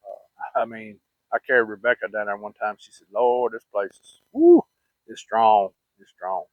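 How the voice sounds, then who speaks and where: A man's voice: fairly low pitch, normal speed, dry recording. Folksy Southern man on muffled, phone-like audio. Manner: folksy, rambling, plain-spoken. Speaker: vlogger. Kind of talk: casual personal storytelling.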